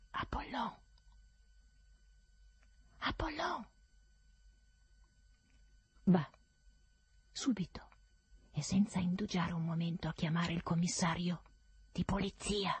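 Woman voicing a frantic, husky, raging master. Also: on the low side, animated, measured pace, long pauses, dry recording, full character voice. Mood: breathless, urgent. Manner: frantic shouting, repeating words obsessively. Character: furious man, tormented master raging at his servant.

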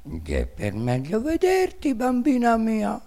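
Sly storybook wolf faking sweetness, creaky, wheedling, slow, swooping pitch. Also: female voice, wildly swooping pitch, warm tone, exaggerated voice. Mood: falsely tender. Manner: coaxing, drawn-out, wheedling.

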